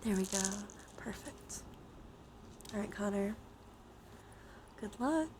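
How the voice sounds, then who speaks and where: A woman hosting a live ASMR stream, speaking softly and gently to viewers and often slipping into whispers. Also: mid-range voice, animated, slow pace, background noise.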